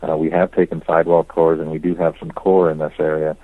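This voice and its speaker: Very deep-voiced man, flat and cautious. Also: no room sound, phone-quality sound. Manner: hedging, cautious, measured answers. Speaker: company executive answering investor questions. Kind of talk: earnings call question and answer. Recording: dry conference call audio.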